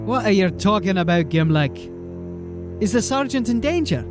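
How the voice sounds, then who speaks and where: A weary watchhouse gatekeeper with a high voice. The delivery is exasperated yet soothing, in fantasy audio drama style with faint music behind. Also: male voice, expressive, moderate pace. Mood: placating.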